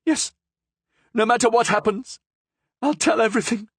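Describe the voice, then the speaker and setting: A man voicing a half-mad, starving woman prisoner who cries out in desperate, shrill pleas. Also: normal speed, a bit bright, husky, no echo, clean studio sound, exaggerated voice. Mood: frantic pleading.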